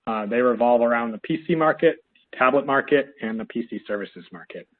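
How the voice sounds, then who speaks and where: A man with a head cold presenting a business webinar as an analyst, speaking steadily with frequent fillers and a slightly hoarse voice. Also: mid-range voice, brisk. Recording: tinny, phone-like audio.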